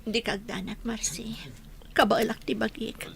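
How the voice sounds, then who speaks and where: Creaky high-voiced grieving widow, acted by a woman. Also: flat delivery, crowd noise. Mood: sad, grateful. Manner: tearful, drawn-out lamenting. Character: grieving widow Aurelia, mourning her husband Marlon. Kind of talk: radio drama acting.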